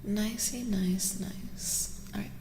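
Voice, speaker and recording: A woman streaming a soft-spoken ASMR card reading, chatting casually and gently in a breathy voice that often drops to a whisper, repeating words soothingly. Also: mid-range voice, steady tone, slow pace, roomy sound, long reverb tail. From Eastern Europe. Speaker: streamer host giving tarot readings.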